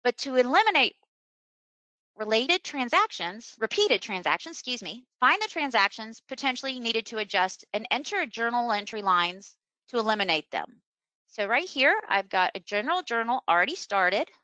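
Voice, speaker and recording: A woman presenting a webinar as a software trainer, explaining a screen demonstration step by step in an unscripted way. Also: a little high, very animated, normal speed, no room sound, low-quality recording. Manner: instructional, step-by-step.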